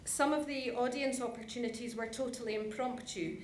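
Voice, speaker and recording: A woman's voice: fairly high pitch, steady tone, a little fast. Chatty woman giving a talk in a big echoey hall, bit of background noise. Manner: chatty, addresses the audience directly. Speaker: conference presenter. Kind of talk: informal presentation to an audience. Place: large conference hall.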